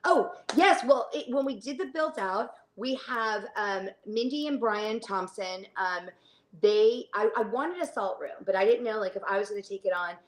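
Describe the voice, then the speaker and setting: Chatty, halting middle-aged woman. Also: mid-range voice, animated, low-quality recording. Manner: chatty, halting, trails into tangents. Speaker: fitness studio owner, interview guest. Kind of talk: casual conversational interview.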